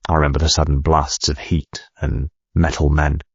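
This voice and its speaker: An actor playing a male chief executive reading his own autobiography in a measured, reflective, gravelly voice. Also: extremely low pitch, flat delivery, dry recording, highs cut off, exaggerated voice. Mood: haunted, reflective. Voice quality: gravelly, husky. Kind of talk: scripted audiobook narration.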